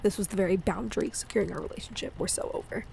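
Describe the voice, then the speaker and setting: A woman playing an affectionate, playful wife and mother in an intimate audio roleplay, speaking in a gentle, teasing way. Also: mid-range voice, rapid-fire, vocal fry, slight background noise.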